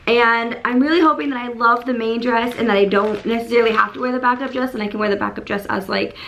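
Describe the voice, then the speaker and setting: A woman in her thirties with a hoarse voice, chatting casually to camera in her bedroom as she unboxes and shows off dresses, gushing and full of fillers. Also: fairly high pitch, fairly even intonation, quick pace, fairly bright tone, slight background noise, poor audio. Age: 30s. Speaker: beauty and fashion YouTuber. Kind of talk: casual try-on haul chat. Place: bedroom indoors.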